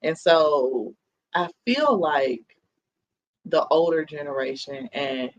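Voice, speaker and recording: A man's voice: a little high, expressive, slow, lo-fi sound. A man in his thirties hosting a podcast, talking in a reflective, earnest way and often checking in with "you know what I mean?". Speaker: podcast host. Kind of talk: casual chat.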